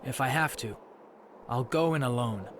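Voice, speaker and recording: An actor playing a resolute, earnest young hero in a fantasy video game. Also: male voice, mid-range voice, moderate pace, slight background noise, lightly acted. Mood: determined. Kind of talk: video game character acting.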